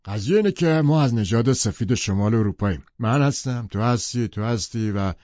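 A man's voice: mid-range voice, expressive, darkish tone, dry recording, voice pushed up, close to an everyday voice. A man voicing a boastful, arrogant wealthy man who lectures emphatically, in a dull lo-fi audiobook recording. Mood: blustering.

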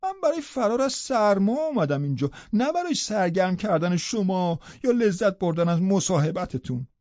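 A man's voice: high-pitched, very animated, a little mellow, no room sound, lo-fi sound. A man reading an audiobook, voicing a proud, bitter young woman who scolds with contempt.

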